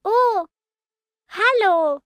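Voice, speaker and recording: A woman's voice: very high-pitched, expressive, very slow, fairly bright tone, no echo, full character voice. A woman voicing a cheerful, curious little girl who explains things simply in a children's educational cartoon. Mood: delighted. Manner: clear, simple, instructive. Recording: music behind the voice.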